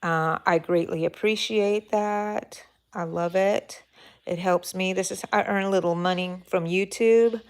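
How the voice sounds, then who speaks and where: A middle-aged woman with a Minnesota accent hosting a live crochet stream from home, rambling warmly and greeting viewers by name. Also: fairly low pitch, steady tone, a little slow, smooth. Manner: rambling, effusive, reads out chat names. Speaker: crochet livestream host. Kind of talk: chatty live stream talk.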